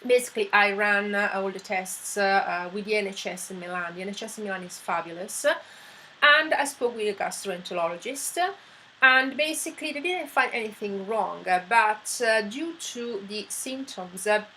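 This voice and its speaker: A woman's voice: mid-range voice, moderate pace, bright, forceful tone, slight background noise, poor audio. A middle-aged woman from Italy with an Italian accent, telling her personal story in a chatty, emphatic way. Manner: chatty, many fillers, emphatic words. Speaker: vlogger.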